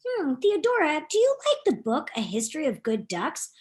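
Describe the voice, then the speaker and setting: Eccentric, friendly male storybook duck, played by a woman narrator: eager, chatty, high and animated. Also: moderate pace.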